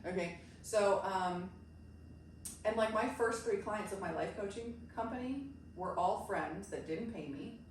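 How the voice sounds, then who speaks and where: A middle-aged American woman, an animated life coach telling stories casually as an interview guest. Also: medium pitch, a little slow. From grew up in the United States. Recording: very echoey room, distant mic, faint noise.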